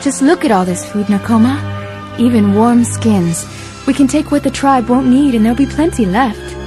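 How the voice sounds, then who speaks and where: Brave young chief's daughter for kids' read-along , female actor: fairly high voice, earnest, expressive, determined. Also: moderate pace, music in the background, natural voice. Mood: eager, practical. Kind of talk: children's read-along story acting.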